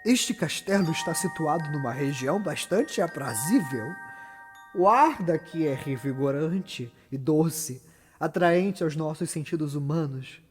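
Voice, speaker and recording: A man's voice: on the high side, expressive, unhurried, soft background music. A man playing a ruthless, scheming noblewoman in a solemn, declamatory Shakespearean stage monologue. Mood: gracious, formal. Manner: solemn, declamatory, swinging from hushed to loud. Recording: long reverberant hall echo.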